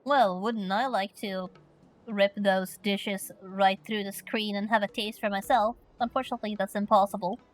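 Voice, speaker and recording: A woman's voice: mid-range voice, fairly even intonation, moderate pace, soft background music. A woman from Finland streaming a story game, chatting playfully and reading questions aloud.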